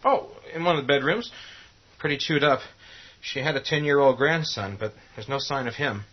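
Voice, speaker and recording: A man's voice: medium pitch, moderate pace, lightly acted. An actor playing a plain-spoken, helpful young local man, earnest and matter-of-fact, in a horror radio play. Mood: earnest, uneasy. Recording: dull, muffled audio.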